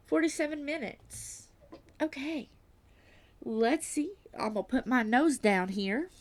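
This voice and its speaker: A woman vlogging at home while diamond painting, reading aloud and chatting in a self-deprecating way, with a slightly stuffy nose and frequent soft whispers. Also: a little high, gravelly. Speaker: crafting vlogger.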